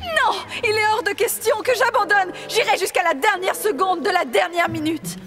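A woman's voice: very high-pitched, moderate pace, over music. A woman playing a young queen in a fairy-tale cartoon, earnest, dramatic and determined to protect her child. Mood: defiant.

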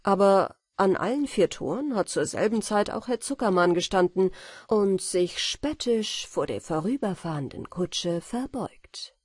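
A woman with speech reads a fairy tale as an audiobook narrator, in a soft, measured and expressive storytelling manner. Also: medium pitch, husky, no echo, clean studio sound. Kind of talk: scripted fairy tale narration for bedtime listening.

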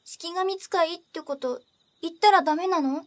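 A woman's voice: extremely high pitch, moderate pace. A woman voicing an innocent, earnest little sister in an anime-style voice drama. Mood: quiet, thoughtful.

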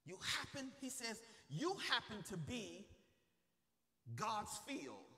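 The man you would hear from the pulpit on a Sunday, piercing and forceful, hammering each phrase again for the pews, his words echoing long through the church. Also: high voice, big pitch swings, takes it slowly. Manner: impassioned, emphatic, repeating phrases for effect; calls on the congregation to respond. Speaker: preacher. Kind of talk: sermon. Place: church, preaching to a congregation. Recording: long reverberant hall sound.

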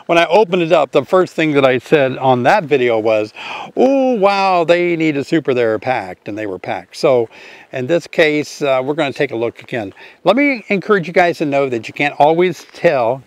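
Older male instructor, slightly high voice, friendly and eager, recorded outdoors in a field. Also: dry recording. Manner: chatty, enthusiastic, addresses viewers directly. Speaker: beekeeping teacher. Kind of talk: casual outdoor tutorial. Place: outdoor apiary, grassy field.

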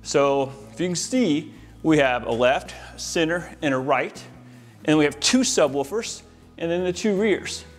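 An American man with a slightly high voice presents a casual walk-through of an installation job. He talks informally and with enthusiasm, like a tradesman showing his work to a camera. Faint music plays behind him. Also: unhurried. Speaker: home audio-video installer giving a tour. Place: inside a large, mostly empty house.

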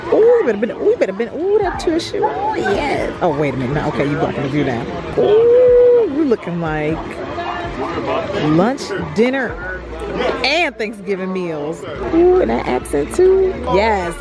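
A woman in her thirties chatting playfully in a casual vlog, excited and full of slang. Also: on the high side, moderate pace, over loud music, over a noisy crowd. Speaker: vlogger.

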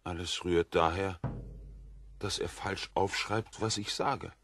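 An actor playing a gentle, earnest, naive wandering prisoner in an audio drama, speaking plainly. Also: male voice, low-pitched, steady tone, takes it slowly, dry recording, soft background music, exaggerated voice. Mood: earnest, troubled.

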